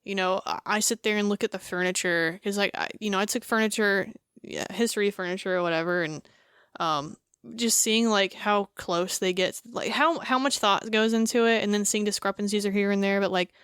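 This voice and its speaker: A woman's voice: medium pitch, fairly even intonation, brisk, a bit bright, smooth, dry recording, clean studio sound. A woman co-hosting a podcast, chatting casually and rambling with lots of fillers. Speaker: podcast co-host. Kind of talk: casual chat.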